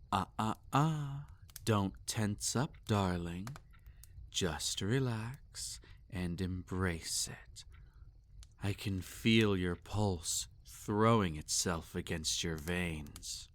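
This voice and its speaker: A man's voice: low-pitched, drawn-out words. A man playing a possessive, sadistic male vampire in intimate roleplay audio, with a theatrical, teasing and condescending manner. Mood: savoring, coaxing.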